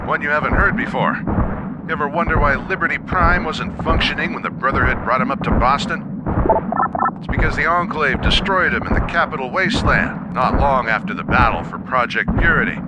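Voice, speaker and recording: A man's voice: a little high, very noisy, lightly acted. A man playing a wry veteran wasteland soldier, telling war stories conversationally as the storyteller of an audio drama. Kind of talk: in-character storytelling over radio. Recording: battle sound effects behind the voice.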